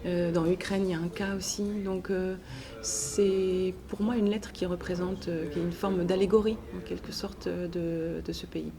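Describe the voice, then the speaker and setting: A woman answering interview questions in a hesitant, halting way with frequent pauses. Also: lowish voice, little pitch movement. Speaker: interviewed author and teacher. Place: book festival. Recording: noisy festival ambience. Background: clear ambient noise.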